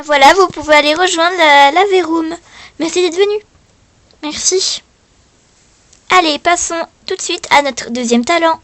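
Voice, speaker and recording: Woman playing a high, upbeat talent-show presenter. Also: measured pace, pauses often, fairly bright tone. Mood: polite. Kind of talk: improvised role-play of show presenting.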